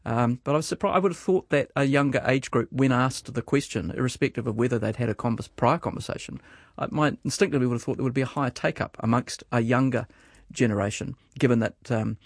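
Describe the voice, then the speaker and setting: A man's voice: medium pitch, quick pace, no echo, clean studio sound. A man on a radio panel, rambling through his points with many restarts and ums.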